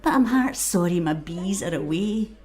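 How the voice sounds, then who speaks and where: A woman acts an old Scottish island aunt for an audio drama. Her voice is soft-edged and fairly low, swinging expressively through wry, affectionate scolding. She is frail yet takes no nonsense. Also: animated, moderate pace, lightly acted. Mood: grave, soothing. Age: older. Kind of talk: naturalistic audio drama acting.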